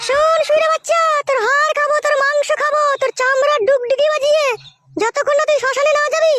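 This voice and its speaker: A cartoon mother-in-law scolds in an extremely high voice at a breakneck pace. She is foul-mouthed and threatening, and noise sits clearly behind her. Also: steady tone, fast, fairly bright tone. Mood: furious threats. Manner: shrill, abusive, theatrically threatening. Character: foul-mouthed middle-aged mother-in-law, brazen, scolding village woman. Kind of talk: comic cartoon dialogue.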